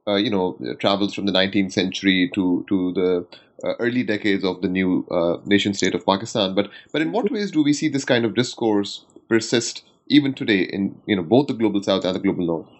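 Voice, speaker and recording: A man with a fairly low voice acts as interviewer on a scholarly podcast. He builds his questions in halting, deliberate pieces, with formal wording. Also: animated.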